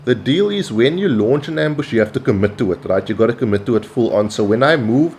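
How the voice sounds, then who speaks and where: A South African man hosting his gaming channel, calmly explaining tactics over gameplay with frequent pauses. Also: mid-range voice, a little hiss or hum. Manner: measured, instructional, with frequent "um" and "uh" pauses. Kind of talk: gameplay commentary.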